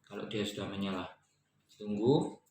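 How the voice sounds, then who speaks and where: A man's voice: on the low side, normal speed, warm tone. A man with an Indonesian accent explaining a product setup step by step in a casual tutorial, often checking in as he goes. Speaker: installer demonstrating a product setup. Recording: echoey room, distant mic, faint noise.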